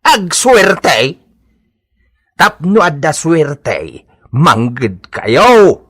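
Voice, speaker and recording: A man playing a stern old Ilocano father in a radio comedy drama, admonishing with drawn-out questions. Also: fairly high pitch, big pitch swings, a bit bright, breathy edge, exaggerated voice. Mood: scolding. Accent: Ilocano Filipino. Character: stern, scolding old father disapproving of gambling.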